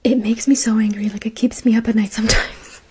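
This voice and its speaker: A woman telling a personal story in a soft whisper, chatty and casual with many "like"s and "um"s. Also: fairly high pitch, little pitch movement, a little fast, noisy background. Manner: whispering softly throughout; chatty, lots of "like" and "um".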